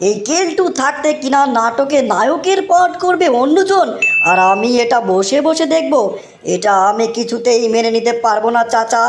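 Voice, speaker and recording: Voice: high voice, expressive, normal speed, echoey room, slight background noise. An actor voicing a swaggering young village tough in a comic cartoon, declaiming like a folk-theatre hero with a rural Bangladeshi accent. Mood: melodramatic.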